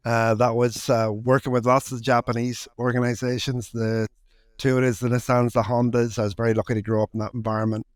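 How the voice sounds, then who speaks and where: A man's voice: medium pitch, flat delivery, fairly quick, hardly any pauses, no room sound. Northern Irish man in a dry recording. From Northern Ireland. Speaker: podcast guest, entrepreneur. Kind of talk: conversational interview.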